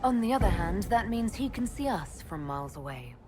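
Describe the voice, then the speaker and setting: An actress playing a composed, dutiful elf mage leader in a video game, speaking in a measured, dry, questioning way. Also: female voice, medium pitch, big pitch swings, a little mellow, a little hiss or hum, natural voice. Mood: earnest. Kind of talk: video game dialogue acting.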